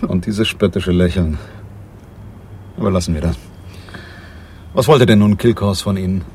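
Hard-boiled detective, low-voiced. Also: male voice, moderate pace, a little mellow. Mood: sceptical, dry. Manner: dry, sardonic, unsentimental. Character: hard-boiled male private detective, cynical, curt and commanding. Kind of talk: radio crime drama with first-person narration. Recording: 1980s radio play, faint background noise.

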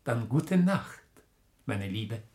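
A man's voice: medium pitch, very animated, slow pace, a little mellow, husky, full character voice. An actor playing an older banker, an anxious, stern but fond father and uncle, in a German radio drama. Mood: fond, tired.

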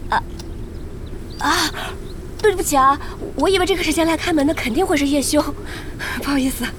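A woman's voice: sits high, fairly quick, background noise, natural voice. An actress playing a sweet, polite, playful young woman in an audio drama. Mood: apologetic. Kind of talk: Chinese audio drama acting.

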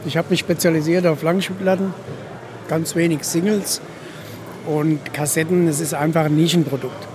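Higher-voiced male vendor amid crowd. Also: fairly even intonation, normal speed. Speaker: record fair vendor. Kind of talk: spontaneous vox-pop interview. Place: large busy fair hall. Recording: busy crowd around the speaker. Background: crowd chatter.

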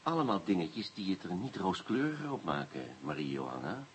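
An actor playing a sly police inspector, mock-polite and insinuating, in a Dutch radio play. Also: male voice, sits low, sing-song, fairly quick, a little hiss or hum. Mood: pressing, ironic. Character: sly, sardonic male police inspector, coolly coaxing.

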